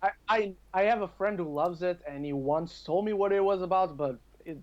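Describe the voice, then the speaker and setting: A man from Portugal with a moderately high voice talks in a casual podcast style. He is chatty and thinks out loud as he goes. The sound is a little dull and lo-fi. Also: normal speed. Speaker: podcast speaker. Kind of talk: casual chat about video games.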